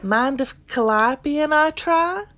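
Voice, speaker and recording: A kind young cowboy from a children's storybook, played by a woman. The voice is fairly high, folksy and full of earnest hope. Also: expressive, slow, fairly bright tone, heavily acted. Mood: hopeful. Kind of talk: children's storybook character reading.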